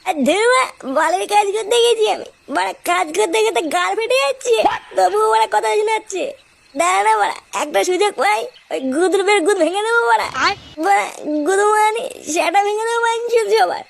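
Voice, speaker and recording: A performer voicing a foul-mouthed, rebellious young boy worker in a comic cartoon, ranting in a coarse, squeaky, creaky voice. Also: a little fast, exaggerated voice. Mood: bitter complaining. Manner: ranting, coarse, constantly cursing.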